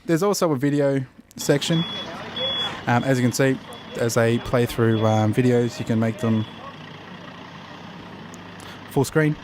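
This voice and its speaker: An Australian man hosts a casual review in a middle-pitched voice. He talks through each point as he goes, a little hesitantly and in plain, explanatory terms. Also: measured pace, long pauses, noisy background. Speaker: host of an app review show.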